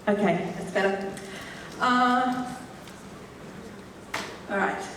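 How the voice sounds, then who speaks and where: A woman's voice: mid-range voice, steady tone, drawn-out words, fairly bright tone. A woman with an English accent, a medium giving a chatty, joking live talk to an audience. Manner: chatty, joking, explaining with laughs. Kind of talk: live stage demonstration talk. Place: large echoey festival hall. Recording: roomy hall, far from the mic, faint hiss.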